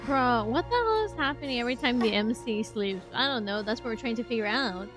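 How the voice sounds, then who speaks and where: Girl streamer reacting to a game, casual, highish voice, music in back. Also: expressive, fairly quick.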